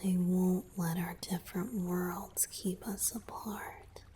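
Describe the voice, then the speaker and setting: A woman voicing an affectionate, playful young merman boyfriend in a romantic ASMR roleplay, tender, breathy and often whispering. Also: lowish voice, flat delivery, slow, mellow, slight background noise. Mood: tender, intimate.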